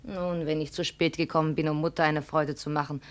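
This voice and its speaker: Female actor, worldly returning daughter, deep for a woman, proud, brisk, regretful, vintage radio play. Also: level tone, natural voice. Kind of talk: 1950 German radio play acting. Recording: vintage 1950 radio recording.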